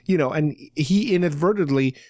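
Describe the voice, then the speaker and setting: A man's voice: a little high, normal speed, a little mellow, no echo, clean studio sound. A man hosting a podcast, casually retelling a story in a chatty fan discussion style. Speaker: podcast host.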